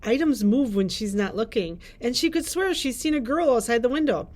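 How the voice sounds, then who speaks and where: Slightly high-voiced woman reading book blurbs. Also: normal speed, darkish tone. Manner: reads prepared blurbs aloud. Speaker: librarian host. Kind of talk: reading book recommendations, light chat.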